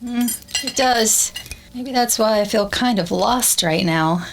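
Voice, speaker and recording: A woman's voice: fairly high pitch, takes it slowly, noisy background. A woman playing an anxious, tender young survivor in a radio drama, wistful and earnest in her delivery. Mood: wistful, afraid.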